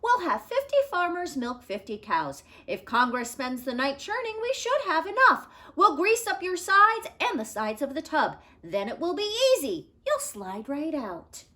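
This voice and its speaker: A woman's voice: sits high, big pitch swings, unhurried, hardly any pauses, sharp tone, voice pushed up, exaggerated voice. A woman voicing an earnest male agriculture secretary in a picture book, confident and matter-of-fact.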